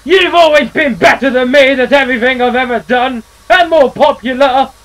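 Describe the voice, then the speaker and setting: A man voicing a pompous, fussy male steam engine who is prim and quick to take offence. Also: very high-pitched, background noise, pitched above the performer's own voice. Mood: furious. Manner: prim, fussy, plummy phrasing.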